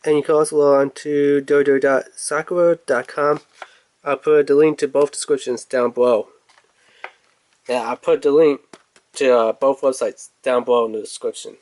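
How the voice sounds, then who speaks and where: A man's voice: mid-range voice, little pitch movement, normal speed, darkish tone. A man giving casual, off-the-cuff commentary as he reviews a toy in an unboxing video. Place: indoors at a table.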